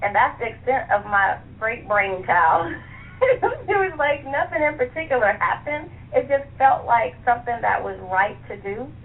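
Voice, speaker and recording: A woman's voice: on the high side, lively intonation, moderate pace. A middle-aged American woman, a guest author chatting casually and laughing often in an interview over a phone line. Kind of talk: casual radio interview chat. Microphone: telephone. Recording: tinny phone line with faint noise.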